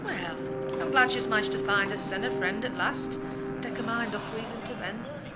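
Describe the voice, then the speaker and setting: A woman's voice: on the high side, normal speed, loud music behind the voice, lightly acted. An actress playing a teasing, genteel lady of a wartime household in a period drama. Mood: pensive. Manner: teasing, old-fashioned idioms.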